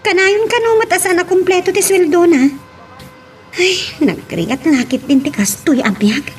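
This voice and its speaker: Woman acting bitter high-voiced young Ilocano woman. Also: moderate pace, soft background music. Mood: exasperated. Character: bitter young woman who refuses love, weary of poverty. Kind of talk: Ilocano audio drama acting.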